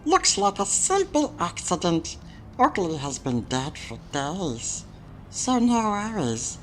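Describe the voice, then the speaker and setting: A woman's voice: on the low side, sing-song, slow, slight background noise. A woman voicing an evasive, smugly dismissive space station authority in a noir adventure game, drawing out words with condescension. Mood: dismissive, warning. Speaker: video game character. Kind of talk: noir point-and-click game voice acting.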